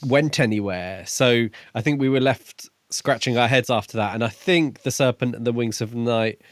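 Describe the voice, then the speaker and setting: A man co-hosting a podcast, discussing books casually in a measured, reflective way. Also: medium pitch, no echo, studio quality. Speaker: podcast co-host. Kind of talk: casual book discussion chat.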